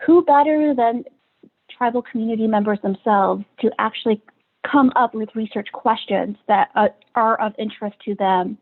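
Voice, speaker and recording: A woman's voice: on the high side, normal speed. A woman giving an academic presentation as a researcher on a video call. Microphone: video call microphone. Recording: tinny, phone-like video call audio.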